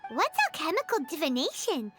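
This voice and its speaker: A small, excitable fairy companion from a video game. The voice is extremely high and squeaky, with animated swings and a cheeky, childlike temper. Prominent music plays behind it. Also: female voice, fairly quick. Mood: curious, skeptical. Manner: refers to herself in the third person, exclamatory. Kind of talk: video game character acting.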